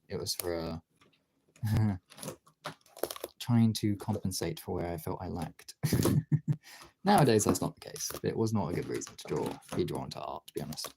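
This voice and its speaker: Low gravelly streamer voice, swings up and down a lot, rambly self-deprecating thinking out loud, bit of noise and clicks. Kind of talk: casual livestream chat while drawing.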